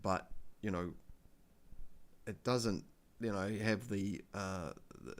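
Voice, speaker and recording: A man with a New Zealand accent hosting a casual livestream, talking in a halting, rambling way with many fillers and restarts into a desk microphone. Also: low voice, clear, resonant tone. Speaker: livestream host.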